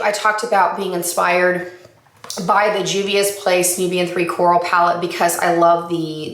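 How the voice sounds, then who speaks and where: Woman makeup vlogger, chatty and upbeat, slightly raspy from allergies, lowish voice, roomy echo. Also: fairly bright tone. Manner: upbeat, enthusiastic, rambling. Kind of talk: casual chatty update. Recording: echoey room.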